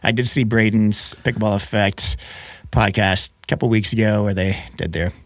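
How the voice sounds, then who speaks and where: Male podcast host, lowish voice, slightly froggy from a cold, chatty, dry close mic. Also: fairly even intonation, normal speed, dry recording. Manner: chatty, laughs often, frequent restarts. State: slightly hoarse from a head cold. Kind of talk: casual podcast chat.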